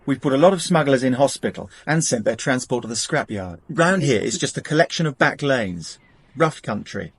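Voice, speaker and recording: Radio drama customs man: brisk, earnest, harassed, lively intonation, rough-edged voice. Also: medium pitch, no room sound. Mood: exasperated, explanatory. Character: harassed, candid male border customs officer. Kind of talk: British radio drama acting.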